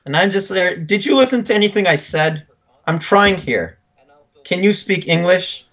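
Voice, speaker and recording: A man's voice: high-pitched, expressive, moderate pace, a bit bright, dull audio. A man from Canada with a slight French-Canadian tinge to his accent, chatting as co-host and telling stories in a dry, joking way. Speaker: guest co-host. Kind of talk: casual chat.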